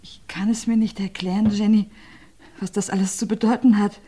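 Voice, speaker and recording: An actress playing a bereaved, tense village widow in a German radio crime play, speaking with strained, solemn control. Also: female voice, medium pitch, level tone, normal speed, mellow, husky, faint music behind, close to an everyday voice. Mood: bewildered.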